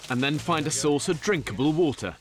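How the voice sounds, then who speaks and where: A man giving scripted documentary narration in a measured, informative way. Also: on the high side, expressive. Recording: voice-over with music underneath.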